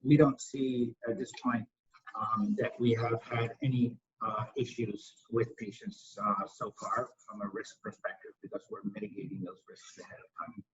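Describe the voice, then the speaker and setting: A husky man speaking as a company executive, answering questions on an investor call in a halting way, repeating words for emphasis. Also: medium pitch, steady tone. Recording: dull, lo-fi conference call audio.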